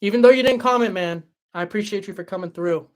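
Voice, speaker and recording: A young man hosting a livestream and answering chat in a relaxed, chatty way, his voice tired after hours of talking. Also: high-pitched, fast. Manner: relaxed, chatty, many fillers and restarts. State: voice tired after a long stream. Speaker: livestream host reading chat.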